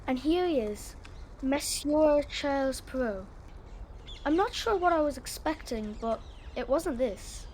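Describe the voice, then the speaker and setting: High-pitched chatty schoolgirl played by a woman. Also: lively intonation, a little slow, a little mellow, slight background noise. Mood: friendly, curious. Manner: chatty, earnest. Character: chatty, dreamy, curious schoolgirl with pet mice. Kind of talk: children's audio drama acting.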